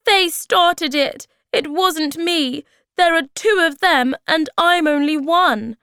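Lady doing a little storybook creature boy, high n swoopy, playful but kinda whiny. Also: lively intonation, a little slow, dry recording. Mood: upset, pleading. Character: young male moomin creature, playful, then plaintive. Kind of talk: storybook character voice.

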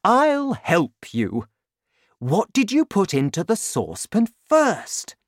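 Woman putting on an excitable, bossy storybook dad, loud and swooping. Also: rumbling low, very slow, dry recording, studio quality, exaggerated voice. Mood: excited, insistent. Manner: emphatic, exclaiming, insistent. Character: excitable, bossy middle-aged father, bursting with grand schemes. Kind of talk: children's storybook character voice.

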